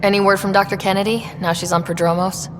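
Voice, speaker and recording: An actress playing a young, steady, principled space explorer in video game dialogue. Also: female voice, medium pitch, fast talker, close to an everyday voice. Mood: matter-of-fact. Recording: long reverb with background noise.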